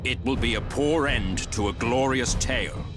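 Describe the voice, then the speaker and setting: Over loud music comes a mid-pitched male voice, an actor as a grim space marine captain. He is proud and fearless, speaking in stern, grand phrases fit for a dramatic game cutscene. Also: moderate pace, lightly acted.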